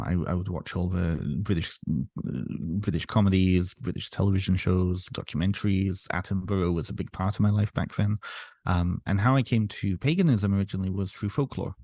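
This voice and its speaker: A man in his thirties talks through his past with a very low, gravelly voice, pausing often on ums and restarts. He sounds thoughtful and unhurried in a casual interview. The sound is dry and close. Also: a little mellow, dry recording. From North Wales, raised in Ontario, Canada. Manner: reflective, many fillers and restarts. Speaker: podcast guest, author.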